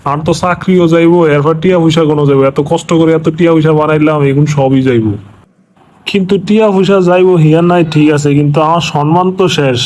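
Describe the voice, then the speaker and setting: A man's voice: on the high side, fast talker, darkish tone, close to an everyday voice. An actor voicing a panicky, self-pitying corrupt official and father in a comic cartoon, speaking in a regional Bangladeshi dialect. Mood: anxious self-pity.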